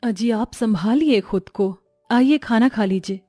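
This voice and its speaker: A woman playing a gentle, worried, patient mother and wife in a moral-story cartoon. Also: a little high, measured pace, no room sound, clean studio sound, soft background music. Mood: caring.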